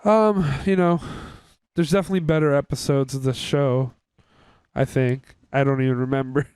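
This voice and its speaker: A man's voice: medium pitch, fairly even intonation, normal speed, a little mellow, smooth, no room sound, studio quality. A young man co-hosting a podcast, giving a casual, candid review with informal, sweary phrasing. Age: young adult. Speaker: podcast co-host.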